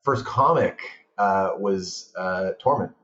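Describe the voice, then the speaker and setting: A man's voice: sits low, slow pace. A man born abroad chatting casually on a podcast, telling long rambling anecdotes. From Portugal. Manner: rambling, animated storytelling. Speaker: podcast guest, film director. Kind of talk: casual chat. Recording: roomy, muffled sound.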